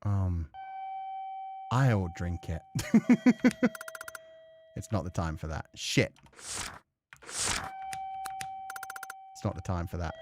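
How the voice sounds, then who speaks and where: Low, animated male gaming streamer. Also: extremely slow, pauses often. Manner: casual, sweary, muttering asides to himself. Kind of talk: let's play commentary mixed with reading game text aloud.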